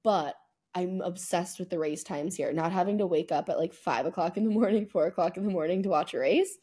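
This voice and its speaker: A woman's voice: on the low side, brisk, mellow, slightly rough. A young American woman co-hosting a podcast in casual, chatty talk over a video call, often repeating words for emphasis. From United States. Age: young adult. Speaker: podcast co-host. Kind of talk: casual chat. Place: indoor room at home, on a video call. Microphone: wired earbuds on a video call.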